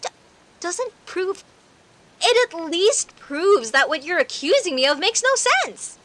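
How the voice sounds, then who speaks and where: A woman's voice: very high voice, very animated, a bit bright, exaggerated voice. A woman playing a friendly, teasing werecat neighbor in an audio roleplay, talking conversationally and straight to the listener. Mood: indignant, defensive. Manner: teasing, indignant, conversational with sighs and pauses. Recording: faint hiss and rain noise. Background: rain ambience.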